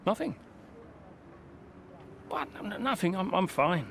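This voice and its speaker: An actor playing a middle-aged veteran racing driver, earnest and guarded, speaking haltingly in a video game cutscene. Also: male voice, high voice, big pitch swings, gravelly, a bit bright, a little hiss or hum, heavily acted. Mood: uneasy, hesitant.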